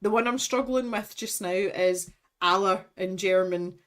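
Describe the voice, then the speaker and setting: A woman's voice: mid-range voice, a little slow, a bit bright. A woman in her thirties, chatting casually on a livestream, rambling and laughing as she reads out product blurbs. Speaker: art supplies streamer. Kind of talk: casual unboxing livestream chat.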